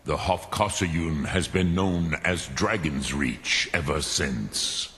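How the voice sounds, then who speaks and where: A man voicing an ancient, wise dragon mentor in a fantasy video game, gravelly, ponderous and musing, with pauses and dragon-tongue words. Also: very deep voice, a bit bright, slight background noise, full character voice.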